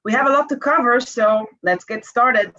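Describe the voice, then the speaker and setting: A woman's voice: on the high side, normal speed. A woman hosting the session, introducing speakers in an upbeat, welcoming way. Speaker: session host. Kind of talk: scripted presenting. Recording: dull, slightly lo-fi audio.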